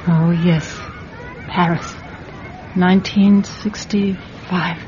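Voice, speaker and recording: A woman's voice: fairly low pitch, fairly even intonation, very slow, a little mellow, some chatter behind, slight background noise. An actress playing a proud, emotional woman drawn into espionage in a radio drama, speaking with earnest, wounded intensity. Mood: haunted, sorrowful. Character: proud, emotional young woman volunteering as a spy. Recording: dull radio recording with faint music. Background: faint music.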